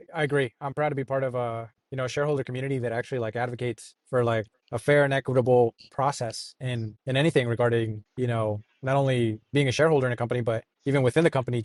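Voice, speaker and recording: A man's voice: medium pitch, fairly even intonation, fast, no room sound. A man co-hosting a podcast, giving casual conversational market commentary with hedging, self-deprecating asides. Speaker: podcast co-host discussing stock investing.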